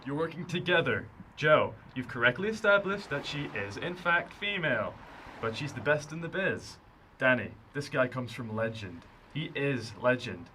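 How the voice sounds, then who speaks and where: A man acting in a radio drama as a taunting, persuasive criminal ringleader with a husky voice and theatrical delivery. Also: mid-range voice, expressive, moderate pace, pushed and bright, slight background noise. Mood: commanding. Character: smooth-talking, menacing male criminal ringleader, needling and bossy.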